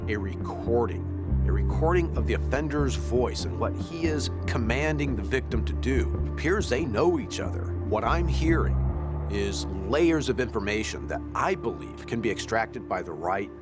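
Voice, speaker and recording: A man's voice: mid-range voice, expressive, normal speed, over loud music. A man speaking as the host and investigator of a documentary, narrating and asking questions.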